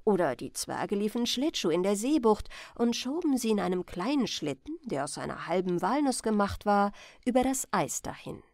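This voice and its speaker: A woman reading a bedtime fairy tale as storyteller, in a gentle, expressive manner. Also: medium pitch, moderate pace, hardly any pauses, dry recording, clean studio sound.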